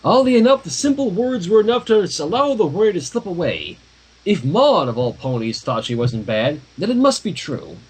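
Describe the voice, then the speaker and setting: A man reading a story aloud on a livestream with expressive, dramatic narration and casual asides, in a husky voice. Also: high-pitched, moderate pace, rough recording. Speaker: fan fiction reader.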